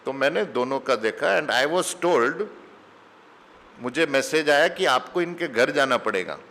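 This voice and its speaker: Spiritual teacher, man, middle-aged, unhurried storytelling sermon, mid-pitched, long hall echo. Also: lively intonation, fairly bright tone. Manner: slow, mixes English phrases into Hindi. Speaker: spiritual teacher giving satsang. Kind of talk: conversational sermon with anecdotes.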